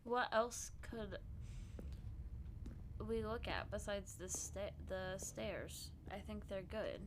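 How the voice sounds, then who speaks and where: Slow, stuffy-nosed young woman streamer. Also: mid-range voice, noisy background. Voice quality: stuffy nose. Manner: playful, teasing. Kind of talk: casual gameplay commentary.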